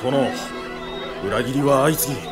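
An actor playing an earnest, anxious samurai retainer in a video game, deferential in manner. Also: male voice, medium pitch, measured pace, lightly acted. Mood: worried. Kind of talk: dramatic game cutscene acting. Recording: music behind.

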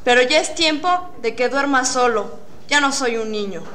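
Woman as fifteen-year-old boy, bright voice, sulky bravado, amateur radio drama, faint noise. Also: high-pitched, animated, normal speed. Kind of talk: student radio drama acting.

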